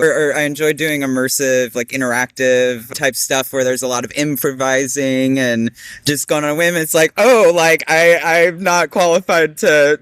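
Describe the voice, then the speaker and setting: A man with a smooth, resonant voice gives casual interview answers as an actor. He talks in a halting, informal way, recorded dry and close. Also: medium pitch, fairly bright tone, dry recording. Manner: halting, informal, frequent filler "like". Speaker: actor, guest speaker. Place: online video meeting.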